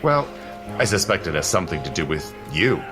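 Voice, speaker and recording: Suave, deep-voiced, teasing gentleman. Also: sing-song, brisk. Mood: confiding, playful. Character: suave, teasing immortal gentleman protector, secretly seventy-two. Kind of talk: audio drama acting. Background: faint music.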